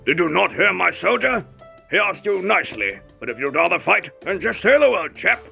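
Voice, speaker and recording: A man's voice: high voice, big pitch swings, normal speed, creaky, bright, forceful tone, soft background music, exaggerated voice. A man voicing an arrogant, scheming villain lord in a comic fan dub, haughty and theatrical. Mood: haughty taunting.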